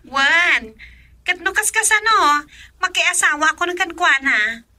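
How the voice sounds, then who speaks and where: A woman plays a heartsick young daughter in an Ilocano radio drama, her voice high and very bright. She talks emotionally, slipping from soft sighs to loud pleading. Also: animated, measured pace, heavily acted. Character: young woman torn over love, daughter.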